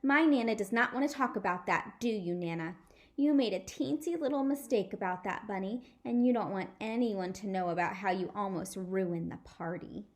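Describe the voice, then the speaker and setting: A woman's voice: mid-range voice, normal speed, close to an everyday voice. A woman voicing a snooty, tattling little girl in a storybook, huffy and prim in manner. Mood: scolding.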